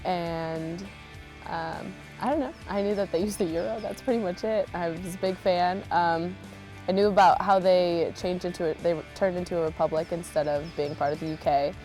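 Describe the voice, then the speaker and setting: A woman's voice: fairly low pitch, fairly even intonation, normal speed, warm tone, soft background music. A young American woman giving casual, chatty interview answers as an exchange student. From Chicago, United States. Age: young adult.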